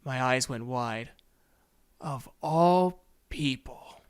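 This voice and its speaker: A man's voice: mid-range voice, very slow, clean tone. A man playing an earnest young male student who narrates his own story in a brooding, first-person audio drama. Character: earnest young male student, a beast-folk huntsman in training. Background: background music.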